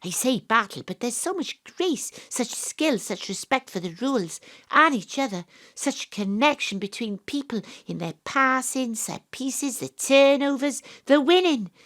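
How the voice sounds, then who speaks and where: A woman's voice: high-pitched, expressive, unhurried, few pauses, fairly bright tone. An actress playing an earnest, troubled young Welsh woman who becomes a rugby captain, in an audio drama. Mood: earnest, wonderstruck. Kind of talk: audio drama monologue and dialogue.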